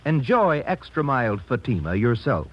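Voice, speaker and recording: A dull vintage radio recording of a male American ad announcer. His husky voice swings animatedly, selling emphatically in 1950s commercial style. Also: mid-range voice, big pitch swings, normal speed. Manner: emphatic salesman delivery. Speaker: advertising announcer.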